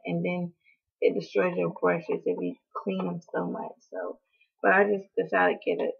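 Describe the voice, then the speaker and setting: A young woman with a hoarse, deeply gravelly voice talks through a casual haul video, full of ums and apologies for how she sounds. Her voice is husky and rough, and the thin, phone-like recording comes from a webcam close by in a small room. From North Carolina. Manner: chatty, apologetic, lots of ums, coughs now and then. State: hoarse from allergies. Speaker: beauty vlogger. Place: small indoor room, in front of a door. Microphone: webcam at close range.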